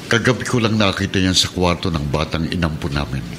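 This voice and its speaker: A man playing a kindly middle-aged Filipino policeman and adoptive father in a radio drama, measured and earnest. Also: deep voice, lively intonation, a bit bright, a little hiss or hum. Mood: grave, earnest. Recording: dramatic music bed behind the voice.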